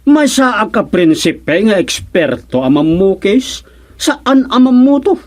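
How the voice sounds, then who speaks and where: High-voiced, hapless impostor prince. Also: male voice, big pitch swings, slow, exaggerated voice. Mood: nervous pleading. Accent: Filipino. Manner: stammering, pleading, exaggerated comic delivery. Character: hapless comic impostor prince posing as royal barber. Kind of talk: Filipino radio drama comedy acting.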